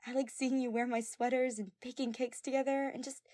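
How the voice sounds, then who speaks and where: A woman voicing an excitable, nervous, affectionate young girl in love, stammering and gushing with emphasis in an amateur audio drama. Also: fairly high pitch, brisk, no room sound, clean studio sound, pitched above the performer's own voice, close to an everyday voice. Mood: flustered, excited.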